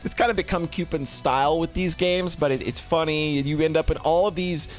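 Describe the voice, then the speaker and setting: Higher-voiced man, casual streamer with music behind. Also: a little fast. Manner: expressive, effusive, swears casually. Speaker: streamer reviewing games. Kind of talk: casual rambling commentary to live chat.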